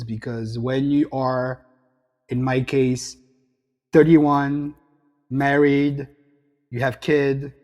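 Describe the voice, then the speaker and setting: A middle-aged man with a French accent speaks as a reflective interview guest, at an average pitch. He talks over a video call from a room at home, and his voice carries a long reverb. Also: a little mellow. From south of France. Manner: reflective, gesturing hands while talking. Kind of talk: interview conversation.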